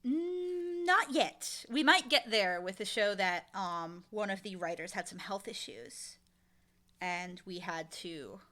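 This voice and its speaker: A woman being interviewed, talking in a casual, informal and animated way about her work. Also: mid-range voice. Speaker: interviewee, audio drama showrunner and voice actor.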